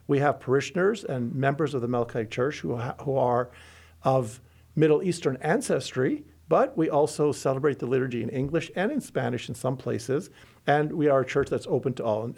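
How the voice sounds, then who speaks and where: A middle-aged man from Lebanon, a bishop, explaining church teaching in an interview with earnest, halting restarts. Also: mid-range voice, gravelly, darkish tone.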